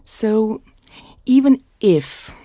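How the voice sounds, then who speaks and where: A woman in her thirties with a Swiss German accent, hosting her own podcast in an earnest, frank monologue, often adding "like" between quick bursts. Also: a little high, lively intonation, mellow, dry recording. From Switzerland. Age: 30s. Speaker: podcast host.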